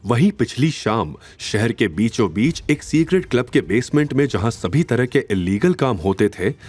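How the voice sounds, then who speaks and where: Husky, animated male story narrator. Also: mid-range voice, normal speed, soft background music. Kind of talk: dramatic scripted story narration.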